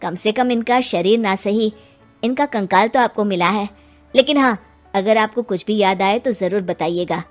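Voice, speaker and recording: A woman's voice: mid-range voice, moderate pace, soft background music, lightly acted. A woman voicing an earnest, respectful male police inspector in an acted story. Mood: polite, probing. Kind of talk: acted Hindi audio story dialogue.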